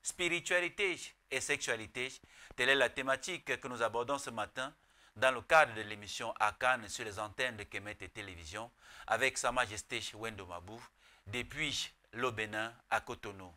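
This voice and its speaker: Male host, bright forceful voice, medium pitch, formal scripted introduction, solemn deliberate phrasing. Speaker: programme host.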